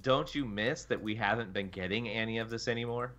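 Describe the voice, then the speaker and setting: A man's voice: lowish voice, fairly quick, fairly bright tone. A man talking casually as a co-host in a gaming panel discussion. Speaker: podcast co-host.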